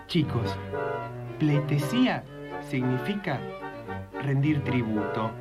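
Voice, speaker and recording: A woman playing an animated, coaxing children's show leader in an improvised comedy sketch. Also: low voice. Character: bossy, conspiring leader of children in kids' show.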